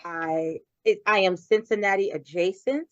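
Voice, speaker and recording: A woman's voice: medium pitch, a little slow, no echo. A chatty woman in her forties tells her story with easy laughs, her sentences restarting as she goes. The recording is dull and dry, with no room sound around her. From Covington, Kentucky. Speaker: guest poet. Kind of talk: casual interview talk.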